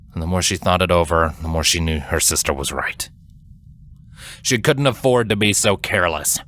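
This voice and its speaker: A man reading scripted dramatic fiction as a narrator, in a measured, solemn storytelling manner. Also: deep voice, bright, forceful tone, a little hiss or hum.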